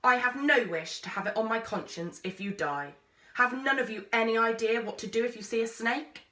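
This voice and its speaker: A woman voicing a gruff, abrupt male explorer in a children's storybook, stern and commanding. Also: fairly high pitch, moderate pace. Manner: abrupt, commanding, without gentleness. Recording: roomy echo, distant microphone.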